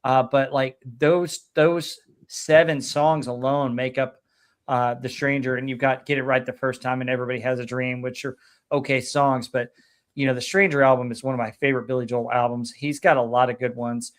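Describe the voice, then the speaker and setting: A man's voice: medium pitch, flat delivery, moderate pace. A man hosting a casual podcast chat about music, talking enthusiastically with many fillers. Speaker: podcast host.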